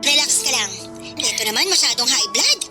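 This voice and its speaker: A woman's voice: high-pitched, expressive, a little fast. An actress playing a carefree, bratty teenage party girl in a radio drama, slangy and flippant. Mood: teasing, smug. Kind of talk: radio drama acting in Filipino. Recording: loud music bed.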